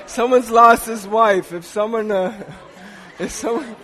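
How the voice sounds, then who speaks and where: A man's voice: very high voice, a bit bright. A man preaching a sermon in a church, talking to his congregation in a loose, conversational way with frequent restarts. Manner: conversational, halting with restarts, chuckling asides. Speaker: pastor. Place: church sanctuary.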